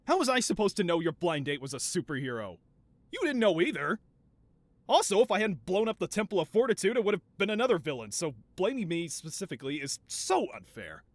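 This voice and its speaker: A man's voice: high-pitched, very animated, fairly quick, no room sound, exaggerated voice. A man playing a flamboyant, doting mad scientist supervillain boss in a scripted audio roleplay, with a theatrical, wheedling, self-justifying manner. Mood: wounded, defensive.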